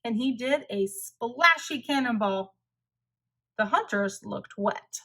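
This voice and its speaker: A woman reading a children's story aloud as narrator, expressive and deliberate. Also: on the high side.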